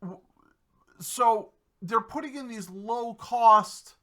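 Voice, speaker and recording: Bright high-voiced man chatting casually. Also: slow pace. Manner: chatty, self-interrupting with many fillers. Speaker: podcast host. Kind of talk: casual gaming chat.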